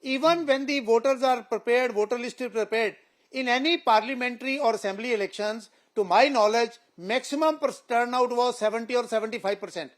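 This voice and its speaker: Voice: medium pitch, fairly quick. Debate guest, firm rebuttal, rhetorical, mid-range voice. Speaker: panel guest.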